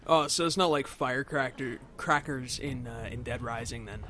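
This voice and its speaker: A man's voice: mid-range voice, moderate pace, pushed and bright, slight background noise. A man co-commentating on a video game with playful, teasing casual banter. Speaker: let's play co-commentator.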